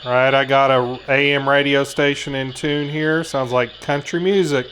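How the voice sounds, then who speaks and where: A man's voice: medium pitch, fairly even intonation, moderate pace, a bit bright, faint music behind, low-quality recording. A man giving a casual, methodical hands-on product review, explaining each step as he goes. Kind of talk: casual hands-on gadget demonstration.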